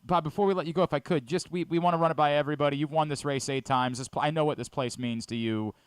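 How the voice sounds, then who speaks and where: Clear-voiced American male sports radio host. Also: fairly high pitch, steady tone, fast, no echo. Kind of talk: conversational sports radio interview.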